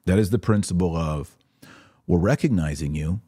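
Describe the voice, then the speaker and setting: Male podcast host, middle-aged, bass-leaning and mellow, emphatic religious commentator speaking directly to viewers. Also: moderate pace, breathy edge. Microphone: webcam setup.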